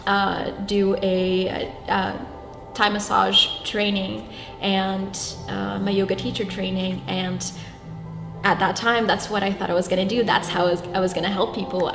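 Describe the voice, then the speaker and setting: A young woman from Brazil, whose first language is Portuguese, answers interview questions in an earnest, halting way, restarting her sentences often. Her voice sits at a middle pitch and rings around a roomy space. Music plays clearly behind her. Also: little pitch movement. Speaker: guest. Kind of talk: reflective interview talk.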